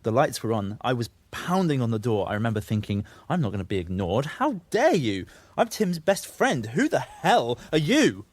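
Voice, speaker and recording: A man's voice: on the low side, lively intonation, fast, few pauses, a little mellow, no echo, lightly acted. An actor playing a troubled, self-justifying young man who confesses and makes excuses in a naturalistic audio drama. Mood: bitter recounting. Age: young adult. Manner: confessional, defensive, sweary.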